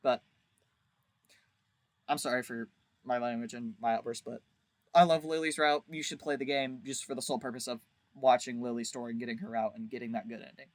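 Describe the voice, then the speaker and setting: A man's voice: medium pitch, steady tone, fairly quick, long pauses, dry recording. A man giving a casual, rambling video review as host, with a crude, jokey, exaggerated way of talking.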